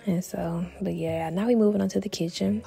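A young woman with a gravelly, mellow voice narrates a cleaning vlog. She sounds tired and drops chatty, half-whispered asides to her viewers. Faint music plays under the voice-over. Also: fairly low pitch, steady tone, brisk. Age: young adult. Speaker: lifestyle vlogger.

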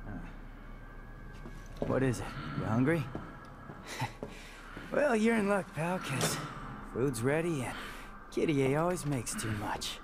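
A man's voice: mid-range voice, animated, takes it slowly, long pauses, noisy background. An actor playing a brash, cocky, casual young man in a video game cutscene. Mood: easygoing. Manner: casual, informal, impulsive.

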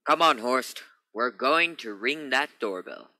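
A man's voice: medium pitch, expressive, unhurried, background noise, lightly acted. A man acting a skeptical, commanding spaceship captain in a radio play, emphatic and authoritative.

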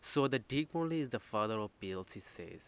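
A man who is a non-native English speaker gives a slide lecture as an instructor, halting and repeating himself as he explains step by step. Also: on the low side, animated. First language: not English. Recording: noisy home-made screen recording.

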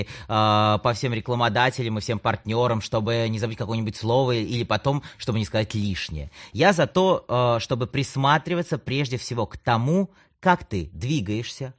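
A man talks straight to the listener like a coach hosting a podcast. His mid-pitched voice moves up and down in a lively way. He is chatty and playful, slipping in ironic asides as he explains. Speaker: podcast host, communication coach and psychologist. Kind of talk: conversational audiobook monologue addressing the listener.

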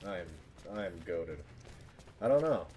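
A man's voice: low voice, very animated, slow. A man doing casual, joking gameplay commentary as a streamer, talking to himself and swearing now and then. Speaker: gaming streamer. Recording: game music behind the voice. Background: loud video game music.

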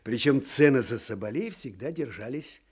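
A man's voice: medium pitch, lively intonation, moderate pace, breathy edge. An actor playing a wry, knowing provincial Russian man with a sly manner in a radio drama. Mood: wry, confiding.

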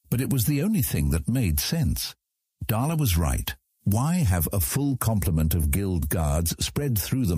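A man with a husky voice reading scripted fantasy story narration as an audiobook narrator. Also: sits low, dark tone, no echo, clean studio sound.

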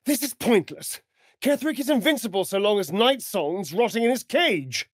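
A man's voice: sits high, animated, normal speed, dry recording, clean studio sound. A man playing a vain, sardonic, centuries-old vampire rogue in a video game, with an arch, theatrical delivery. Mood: exasperated. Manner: arch, flamboyant, drawling, mocking. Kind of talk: video game character acting.

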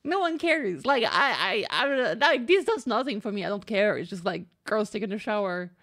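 A young woman streamer giving casual, expressive, joking reaction commentary full of slang. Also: mid-range voice, normal speed.

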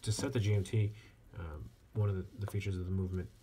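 A man giving a casual hands-on product review as a reviewer, speaking in a halting way with frequent pauses. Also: low-pitched. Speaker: watch reviewer. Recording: clear steady background noise.

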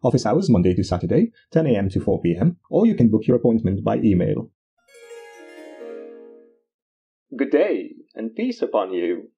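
A man's voice: fairly low pitch, big pitch swings, a little fast, vocal fry, muffled recording, soft background music. A man playing a pompous spiritual guru in an audio drama, speaking in a grandiose, flowery way. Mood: grandly mystical. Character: pompous, theatrical male spiritual guru peddling remedies. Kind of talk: audio drama character acting.

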